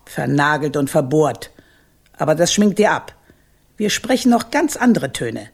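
A woman's voice: low voice, sing-song, measured pace, breathy edge, heavily acted. An actress playing an imperious old witch grandmother in a radio play, haughty, biting and sardonic. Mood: haughty menace. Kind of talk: German radio play acting.

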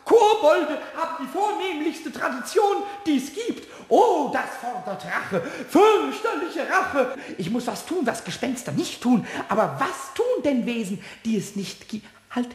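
A tiny, impish kobold in a radio play. The childlike squeak is very high and swoops about, yet the phrases come slowly. He is excitable and easily indignant, repeating his schemes with relish. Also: exaggerated voice. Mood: indignant, vengeful. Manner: exclamatory, repetitive, gleefully scheming. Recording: echoey, long reverb.

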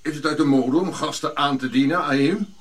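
A man's voice: a little high, moderate pace, natural voice. An older man playing a bitter, imperious African prince on Java in a radio drama, speaking formally with caustic haughtiness. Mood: caustic, cold.

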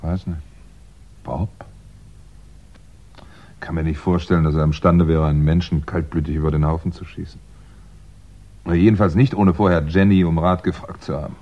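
Calm thinky detective-writer man, deep voice, dull 60s radio drama sound. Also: normal speed, pauses often. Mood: pensive. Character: calm male amateur sleuth, crime writer reasoning things out. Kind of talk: 1960s German radio crime drama acting. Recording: dull, muffled 1960s radio recording.

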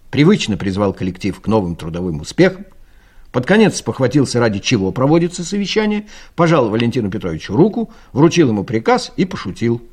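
A man's voice: medium pitch, a little mellow, husky. A male narrator telling a satirical story in a dry, ironic manner, as in a scripted audio play.

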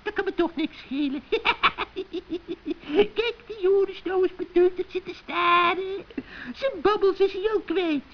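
A performer voicing a gleeful, scheming old witch in a children's radio play, shrill and cackling, with taunting mock sweetness. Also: slow pace. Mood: gloating. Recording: dull, muffled old radio recording.

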